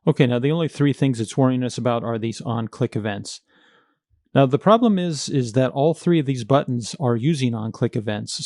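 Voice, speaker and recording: A man's voice: mid-range voice, dark tone, no room sound, studio quality. A man teaching coding in a screencast, explaining each step in a methodical, plain-spoken way. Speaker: coding tutorial instructor.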